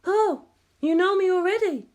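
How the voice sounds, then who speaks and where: A narrator doing a high-pitched polite young woman. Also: moderate pace, a little mellow. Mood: eager, polite. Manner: polite, businesslike. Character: polite, earnest young woman, animation concept artist.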